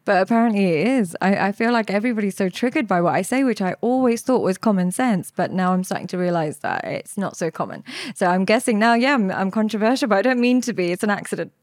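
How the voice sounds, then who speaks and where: Young London-accented female guest, chatty and explanatory, mid pitch, close dry sound. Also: lively intonation, fast talker, few pauses, no room sound. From London, England. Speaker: podcast guest, relationship therapist. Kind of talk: conversational interview.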